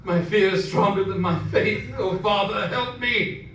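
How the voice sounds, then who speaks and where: Man playing a high-voiced, solemn man of faith. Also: unhurried, a bit bright. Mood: fervent, praying. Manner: solemn, incantatory, archaic phrasing. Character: fearful but devout male servant of God, praying against evil. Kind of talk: 1930s-style radio drama acting. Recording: echoey distant room, faint noise.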